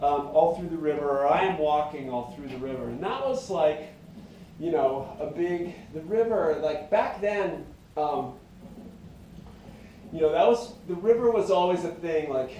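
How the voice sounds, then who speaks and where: A middle-aged man with a high tenor voice and a mellow tone wanders through his thoughts, pausing and restarting often. His voice rings in a very echoey room and sits away from the mic. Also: expressive, distant mic, slight background noise. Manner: meandering, reflective, many fillers and restarts. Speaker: singer-songwriter. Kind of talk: rambling casual monologue.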